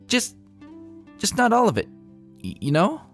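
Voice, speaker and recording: A man's voice: high voice, wildly swooping pitch, unhurried, a bit bright, faint music behind, pitched above the performer's own voice, full character voice. A man voicing a brash young female pegasus pony, teasing and easily flustered, in a dramatic fan fiction reading. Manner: teasing, stammering when flustered.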